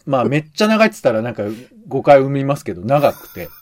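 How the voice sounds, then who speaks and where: A man's voice: medium pitch, big pitch swings, fast. A man co-hosting a podcast, giving conversational commentary on radio shows and thinking aloud as he goes. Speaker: podcast co-host.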